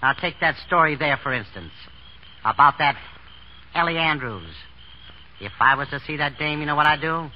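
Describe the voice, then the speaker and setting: An actor playing a slangy, cowardly small-time chiseler in a 1930s American radio drama. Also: male voice, on the high side, moderate pace, pauses often, natural voice. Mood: smug, sly. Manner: slangy, stammers when scared. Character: pushy small-time male chiseler, cowardly when threatened. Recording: dull, noisy 1930s radio recording.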